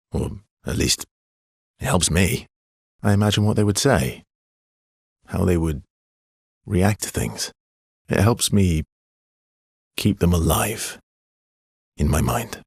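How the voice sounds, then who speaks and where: An actor playing a weary, guarded, sorrowful young man in a video game, speaking softly and haltingly with earnest feeling and a husky, breathy voice. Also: sits low, no room sound, studio quality, full character voice. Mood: gentle, consoling. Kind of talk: dramatic video game acting.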